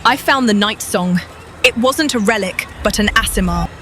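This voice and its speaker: A woman's voice: medium pitch, moderate pace, over music, lightly acted. A woman playing a blunt but concerned adventurer heroine in a fantasy game story. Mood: firm.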